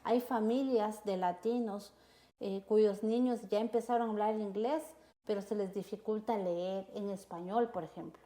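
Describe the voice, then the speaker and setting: A woman co-hosting a casual chat, explaining in a measured way. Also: medium pitch, steady tone, dark tone, reverberant. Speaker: co-host.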